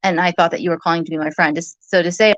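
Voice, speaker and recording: A soft-voiced woman talking casually as in a vlog, halting and rambling. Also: lowish voice, steady tone, no echo.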